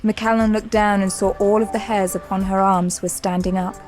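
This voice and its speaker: A woman's voice: mid-range voice, little pitch movement, normal speed, darkish tone, soft background music. A woman narrator reading dramatic scripted story narration for an audio drama.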